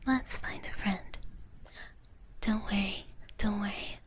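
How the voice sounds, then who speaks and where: A woman hosting an ASMR livestream in a breathy whisper, speaking soothingly and gently repeating her phrases. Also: medium pitch, fairly even intonation, slow. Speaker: livestream host.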